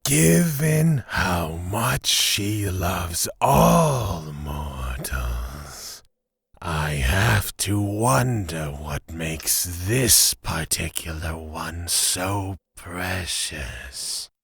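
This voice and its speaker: A languid male god, acted by a man in a fantasy audio drama. He drawls very slowly in a low, husky voice that swoops with sardonic relish. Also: very animated, fairly bright tone, no room sound, studio quality, heavily acted. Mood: wry. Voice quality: gravelly, husky.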